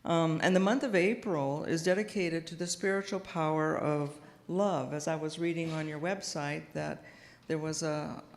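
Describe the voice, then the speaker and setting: Quiet-voiced woman preaching in a reverberant hall. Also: low-pitched, steady tone. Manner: gentle, thoughtful, pauses with frequent fillers. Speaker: guest minister. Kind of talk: sermon. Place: church sanctuary. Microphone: house sound system microphone.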